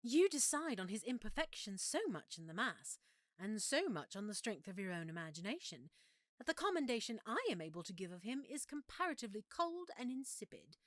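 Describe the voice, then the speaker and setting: A woman's voice: medium pitch, sing-song, brisk, no room sound, studio quality, close to an everyday voice. A woman playing a reserved, judgmental well-bred period character with formal, cool, precise phrasing. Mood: cool, disdainful. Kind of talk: period literary drama acting.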